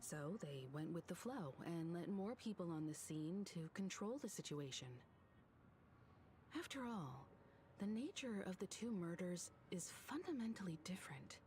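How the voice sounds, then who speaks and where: An actress as a composed, mature game character with a lowish voice. She reasons calmly and deliberately over slight background noise. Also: close to an everyday voice. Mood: calm, analytical. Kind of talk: video game voice acting.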